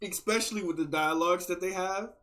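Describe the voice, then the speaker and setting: A man gives casual reaction commentary in an unusually high voice. He is excitable and slangy, often doubling up words as he makes his point. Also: fairly quick, a little hiss or hum. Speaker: reaction video host.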